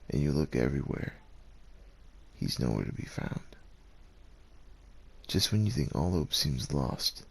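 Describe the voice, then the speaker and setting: A male narrator reads a scripted ASMR tale. His voice is exceptionally deep and husky, and he takes it at a slow pace, telling the story to the listener as its main character. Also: darkish tone. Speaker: narrator, voice actor.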